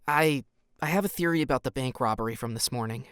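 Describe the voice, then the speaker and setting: An actor playing an earnest, guarded, sharp-minded teenage boy in an audio drama. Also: medium pitch, fast, smooth, no echo, clean studio sound, close to an everyday voice. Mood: earnest, deducing.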